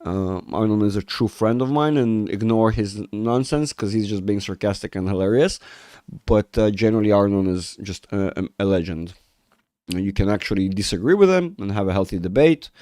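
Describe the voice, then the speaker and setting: A man's voice: on the low side, normal speed, dark tone, no room sound. Rambling male livestream host with a cold. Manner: rambling, self-interrupting, reads chat aloud. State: has a cold, voice rough. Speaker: market analysis livestream host. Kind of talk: casual livestream chat with viewers.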